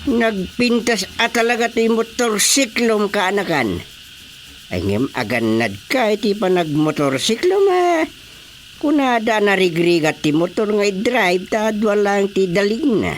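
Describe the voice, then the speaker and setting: A woman's voice: medium pitch, expressive, a little slow, natural voice. A woman acting an easygoing but fretful relative in an Ilocano radio drama comedy. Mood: fretting. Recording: faint background noise.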